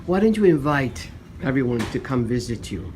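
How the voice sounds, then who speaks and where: A man's voice: medium pitch, sing-song, normal speed, noisy background. A man speaking informally as an interviewer on location.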